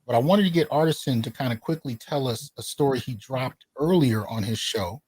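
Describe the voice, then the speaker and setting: A man's voice: medium pitch, fairly quick, a little mellow, husky, no echo. A man hosting an interview, speaking emphatically and often checking in with his listeners. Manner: emphatic, often asks "right?". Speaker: host.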